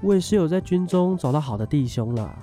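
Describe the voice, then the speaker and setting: A man's voice: on the high side, lively intonation, fast, dark tone, lightly acted. A man with a Taiwanese accent playing a young army conscript in a radio drama, earnest, chatty and good-natured. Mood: earnest, grateful. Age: young adult. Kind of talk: scripted radio drama dialogue. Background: background music.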